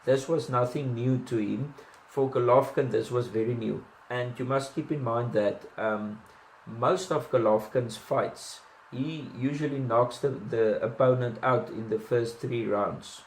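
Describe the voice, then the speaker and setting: A man's voice: medium pitch, unhurried, roomy sound, a little hiss or hum, poor audio. A man with a South African accent giving casual boxing commentary, chatty, with many ums and restarts and self-deprecating asides.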